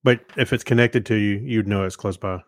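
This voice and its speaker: A man's voice: on the low side, fairly even intonation, a little fast, no echo, studio quality. A man co-hosting a podcast, chatting casually and reading out listener messages. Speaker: podcast co-host.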